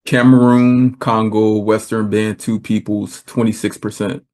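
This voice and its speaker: Man, middle-aged, podcast host, lowish voice, flat even delivery. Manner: measured, unhurried. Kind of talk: casual conversation.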